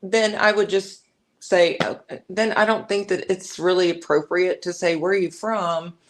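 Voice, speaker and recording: A woman's voice: lowish voice, fairly even intonation, moderate pace, low-quality recording. A woman chatting casually in a discussion, somewhat hesitant as she works out her point. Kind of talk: casual chat.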